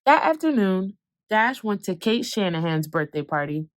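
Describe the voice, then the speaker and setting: A woman reading a children's picture book aloud, expressive, slowing down for calm moments and speeding up for excitement. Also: medium pitch, dry recording. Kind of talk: read-aloud storytelling.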